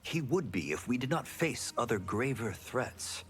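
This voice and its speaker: An actor playing an earnest, concerned warrior in a video game, speaking sincerely and rather formally. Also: male voice, medium pitch, lively intonation, a little slow, fairly bright tone. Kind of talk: video game character dialogue. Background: game music.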